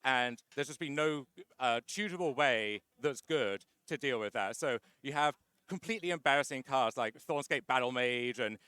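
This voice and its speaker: A man's voice: mid-range voice, moderate pace, sharp tone, clear, resonant tone, no room sound. A man being interviewed after winning a card tournament, chatty and wry, with lots of "uh" fillers. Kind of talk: casual post-match interview.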